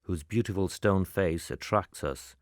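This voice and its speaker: A man reading scripted narration in the manner of a spy dossier, with measured, formal, clipped report delivery. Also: low-pitched, dry recording, studio quality.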